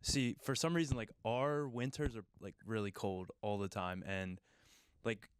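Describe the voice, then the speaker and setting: A man's voice: lowish voice, steady tone, a little slow, smooth, dry recording, studio quality. A man with an American accent hosting a podcast in a casual, conversational interview style. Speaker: podcast host.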